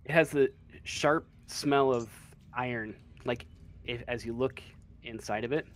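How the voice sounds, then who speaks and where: Male game master, unhurried and hesitant, mid-pitched, improvising tabletop narration. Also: background noise. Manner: hesitant, halting.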